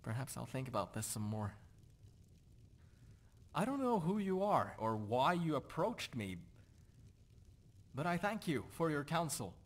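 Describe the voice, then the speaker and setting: A man's voice: on the high side, big pitch swings, a little slow, long pauses. Man playing a dour, brooding fantasy nobleman. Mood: dour, weary. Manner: gloomy, brooding, self-questioning. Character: dour, disillusioned Nordic nobleman doubting his purpose. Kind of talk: video game character dialogue.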